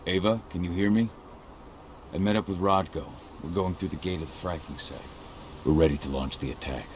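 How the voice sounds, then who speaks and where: An actor playing a gruff, stoic, loyal werewolf warrior in a dramatic video game. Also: male voice, low-pitched, normal speed, slight background noise, lightly acted. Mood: focused.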